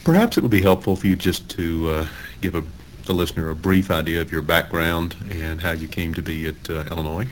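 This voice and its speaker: Deep-voiced man hosting a radio interview. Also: steady tone, slight background noise. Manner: formal, halting with uhs. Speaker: talk show host.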